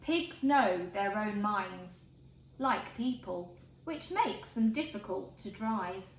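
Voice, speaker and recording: A woman reading a picture book aloud to children in an expressive, emphatic way. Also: mid-range voice, slow. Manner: expressive, emphatic phrasing for young listeners. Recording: roomy echo, faint background noise.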